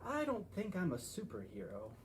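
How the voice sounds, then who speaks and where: A man's voice: sits high, wildly swooping pitch, normal speed, slight background noise, pitched above the performer's own voice. A man voicing a brave but puzzled little girl in a storybook, with a questioning manner. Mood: unsure.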